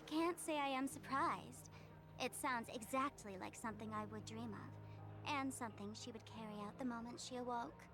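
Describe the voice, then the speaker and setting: A woman's voice: a little high, normal speed, lightly acted. A woman voicing a wry, teasing young female streamer and trickster in a video game, speaking in a confiding, storytelling manner. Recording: clear music bed behind voice. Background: music.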